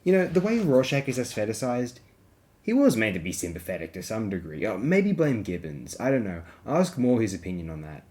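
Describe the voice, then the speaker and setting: A man with a low-pitched voice delivers rambling, opinionated commentary as a review host. His phrasing is wordy and bookish, and he often breaks into his own sentences with halting asides and digressions. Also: huge pitch swings, a little mellow. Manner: halting, self-interrupting asides. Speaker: host of a film review program.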